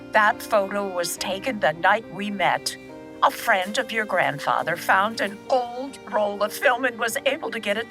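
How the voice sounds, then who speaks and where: Slow-spoken, candid elderly grandmother. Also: medium pitch. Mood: fond, slightly flustered. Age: older. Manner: reflective, measured storytelling. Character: elderly grandmother recalling her past, candid and steady. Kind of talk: audio drama acting.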